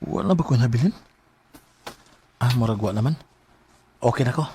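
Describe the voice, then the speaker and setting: A man's voice: mid-range voice, moderate pace, noisy background, lightly acted. A man playing a modest, dutiful young student with a Cebuano accent in a Philippine radio drama.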